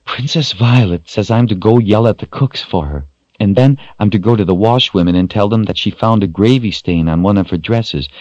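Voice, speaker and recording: A man's voice: lowish voice, darkish tone, no echo, highs cut off, voice pushed up. A man voicing a small, timid, kind-hearted servant girl in a storybook narration.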